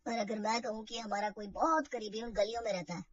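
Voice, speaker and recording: A woman voicing a calm, protective young husband and older brother in a family drama story, speaking in a measured way. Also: a little high, no room sound, dull audio, pitched below the performer's own voice, close to an everyday voice. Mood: calm, testing.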